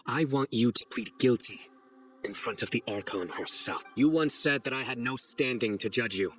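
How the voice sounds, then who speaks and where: An actor playing a stern, composed general and judge in a video game, speaking in a measured, solemn, accusing manner. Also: male voice, medium pitch, a bit bright, lightly acted. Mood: cold, accusing. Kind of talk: dramatic video game acting.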